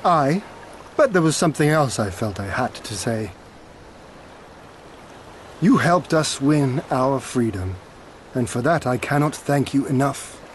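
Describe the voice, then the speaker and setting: A solemn, resolute lord, slow-spoken. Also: male voice, on the high side, a little hiss or hum. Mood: earnest, courteous. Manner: measured, formal archaic speech. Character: noble male lord, young leader rallying a freed homeland, solemn and resolute. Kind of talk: video game voice acting.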